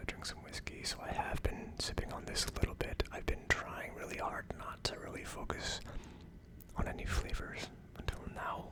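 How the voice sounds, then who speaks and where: Soft unhurried ASMR whisperer. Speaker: ASMR video host. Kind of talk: ASMR, casual whispered talk to viewers.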